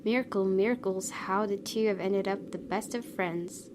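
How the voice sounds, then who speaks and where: A woman's voice: mid-range voice, moderate pace. A woman hosting a gaming video, reading visual novel narration aloud with occasional asides. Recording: clear background noise.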